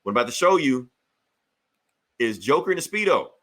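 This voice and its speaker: Male podcast host, bright forceful voice, loose slangy comic-review chat with plenty of swearing. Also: mid-range voice, brisk. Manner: profane, slangy, animated retelling.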